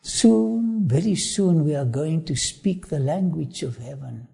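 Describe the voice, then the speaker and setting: A man with an Afrikaans accent, whose first language is Afrikaans, presenting a travel documentary with sermon-like asides, in slow, emphatic, drawn-out phrasing. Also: a little high, lively intonation, vocal fry, warm tone, slightly rough.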